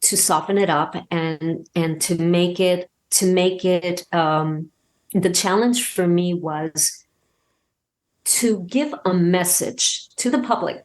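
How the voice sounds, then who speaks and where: A woman talking casually as an interview guest and telling anecdotes, emphatic and halting, often repeating words as she goes. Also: fairly low pitch. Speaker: podcast guest, audio drama creator and voice actor.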